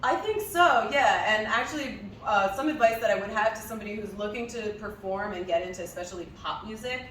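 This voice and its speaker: A young woman from New Jersey whose first language is Hebrew, chatting casually in an interview about her music, laughing often and filling pauses with ums. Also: medium pitch, fairly bright tone, a little hiss or hum. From New Jersey, USA. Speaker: singer being interviewed. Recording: echoey room, voice from a distance.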